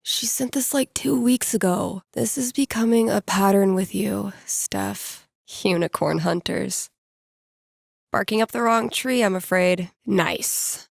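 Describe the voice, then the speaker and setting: Young American female DJ, video game character, sardonic, playful, self-deprecating, casual delivery, vocal fry, leisurely pace. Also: medium pitch, slow pace, dry recording, studio quality. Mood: regretful, then self-deprecating, deflated. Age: young adult. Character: wry, playful young woman, small-town radio DJ and tabletop gamer. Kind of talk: naturalistic video game acting, casual muttered asides.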